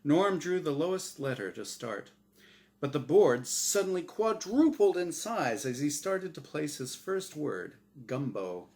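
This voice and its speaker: A man's voice: on the high side, sing-song, unhurried, gravelly, darkish tone. A man narrating a scripted short story as a dramatic reading by its author.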